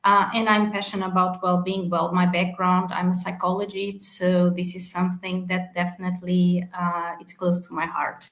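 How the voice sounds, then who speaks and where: Flat-voiced woman in echoey room. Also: lowish voice, normal speed, few pauses. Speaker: panelist. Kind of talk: panel discussion.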